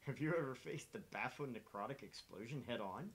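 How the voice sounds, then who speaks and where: A woman's voice: very deep voice, lively intonation, fairly quick, slight background noise, pitched below the performer's own voice. A woman voicing a dry, sardonic university scientist who explains the occult in a wry way.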